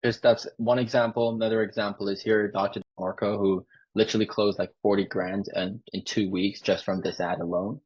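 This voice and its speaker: Man, sales closer. Low, husky voice, informal pitch style, muffled call recording. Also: flat delivery, brisk, few pauses, darkish tone. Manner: informal, peppered with "like". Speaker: sales closer for a marketing agency. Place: online sales call with screen sharing. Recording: dull lo-fi call audio.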